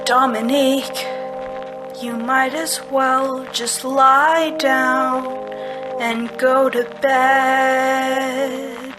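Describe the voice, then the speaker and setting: Solemn game chorus: high, very drawn-out sung lines over music. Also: female voice, flat delivery. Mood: mournful.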